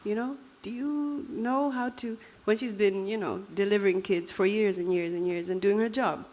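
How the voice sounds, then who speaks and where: A woman's voice: mid-range voice, moderate pace, a little mellow. A young woman from Namibia with a Dutch accent, giving a reflective, conversational personal talk with frequent fillers. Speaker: speaker.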